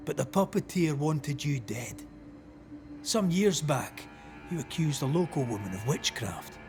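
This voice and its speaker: A man playing a stern, resolute ghost hunter in a dramatic game cutscene, speaking in a measured, accusatory manner. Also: a little high, animated, a little mellow, faint music behind. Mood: stern, accusing. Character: grave, resolute male ghost hunter passing judgment.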